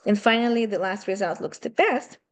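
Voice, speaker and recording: A woman's voice: medium pitch, brisk. Woman with a Russian-Ukrainian accent teaching. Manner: conversational, asks rhetorical questions, non-native phrasing. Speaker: math teacher. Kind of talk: online seminar lecture. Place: home room with a running aquarium.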